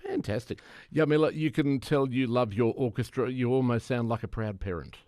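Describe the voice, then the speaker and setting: A man's voice: medium pitch, moderate pace, a little mellow, no room sound, clean studio sound. A man hosting a conversational radio interview in an agreeable, chatty manner.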